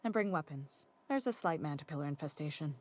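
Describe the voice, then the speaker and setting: Low, dry station chief. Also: female voice, animated, a little fast, a little mellow. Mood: dry approval. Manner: composed, dry, formal, commanding. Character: dry, weary, exacting female space station chief engineer. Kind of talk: video game dialogue acting.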